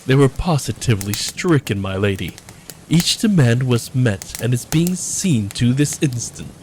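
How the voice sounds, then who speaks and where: A man's voice: medium pitch, expressive, measured pace, slight background noise, natural voice. A man playing a deferential lamia servant in a fantasy audio drama, talking politely and formally with a slightly nervous, humble manner. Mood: dutiful.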